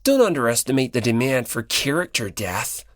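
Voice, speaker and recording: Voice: medium pitch, sing-song, normal speed, fairly bright tone, no echo, studio quality. A performer voicing an earnest, easily flustered, hot-headed teenage boy in an audiobook, with blustering, emphatic delivery. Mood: indignant, argumentative. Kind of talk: dramatic audiobook character dialogue.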